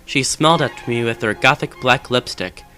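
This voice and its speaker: Male narrator, dramatic fan-fiction reading, mid-low everyday pitch, music underneath. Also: normal speed, sharp tone.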